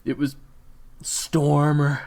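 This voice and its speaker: A man playing a pained, cornered hired thug under interrogation in an audio drama, husky, halting and reluctant. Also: a little high, completely flat delivery, darkish tone, heavily acted. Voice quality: breathy, gravelly, husky. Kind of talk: audio roleplay acting.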